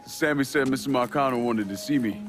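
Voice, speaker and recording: An actor playing a modest, steady young American war veteran and enforcer in a video game, speaking in a reserved, respectful manner. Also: male voice, mid-range voice, fairly quick, lightly acted. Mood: friendly, reserved. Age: young adult. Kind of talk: cinematic video game acting. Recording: faint background music.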